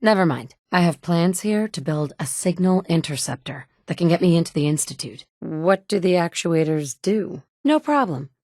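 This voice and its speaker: A woman's voice: fairly low pitch, expressive, normal speed, few pauses, darkish tone, no echo, natural voice. A woman voicing a firm, hardened game heroine. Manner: firm, direct, dry and commanding. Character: determined mother, hardened wasteland survivor. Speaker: player character voice. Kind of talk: video game dialogue. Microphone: studio mic, close.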